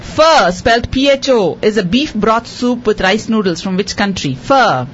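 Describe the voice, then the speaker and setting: A woman hosting a quiz show for children, repeating each question slowly and cheering the contestants on. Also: mid-range voice, expressive, muffled recording, slight background noise. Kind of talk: hosting a radio quiz for kids.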